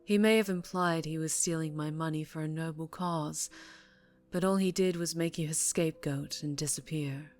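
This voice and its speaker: A woman playing a dangerously charming female mafia boss in an intimate ASMR roleplay, ruthless yet tender, speaking close and hushed with condescending affection. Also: low voice, fairly even intonation, moderate pace, faint music behind, lightly acted. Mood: soothing, controlled. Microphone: close-up microphone.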